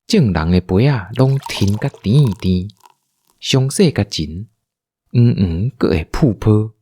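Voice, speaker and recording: Male drama narrator, Taiwanese accent, low and unhurried storytelling with lively swings. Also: husky.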